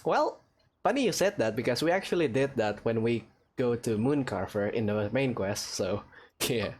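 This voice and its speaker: A man's voice: medium pitch, lively intonation, moderate pace, a little mellow. A man giving casual, rambling, jokey let's play commentary as a gaming host. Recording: music under the voice. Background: game music.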